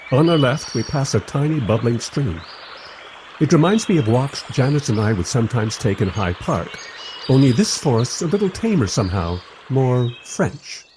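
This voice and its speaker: A man's voice: medium pitch, very animated, moderate pace, background noise, natural voice. An actor playing a wry, skeptical man who narrates his own adventure in a radio play, with a dry storytelling manner.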